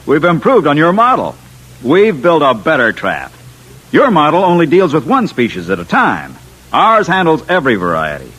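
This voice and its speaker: A man's voice: on the high side, wildly swooping pitch, normal speed, fairly bright tone, exaggerated voice, poor audio. An actor playing a confident, wry Earthman inventor in a 1950s radio drama, with a casual, cocky manner. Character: brash, confident Earthman inventor held by aliens. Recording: old-time radio recording.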